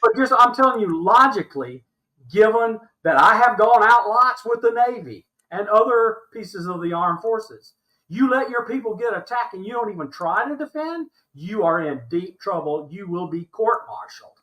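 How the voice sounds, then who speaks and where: A man's voice: high-pitched, lively intonation, normal speed, bright, forceful tone, poor audio. An older American man of about seventy, talking emphatically and insistently as an interview guest, repeating points for stress. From Alabama, United States. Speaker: interview guest recounting his experiences. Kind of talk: animated conversational argument.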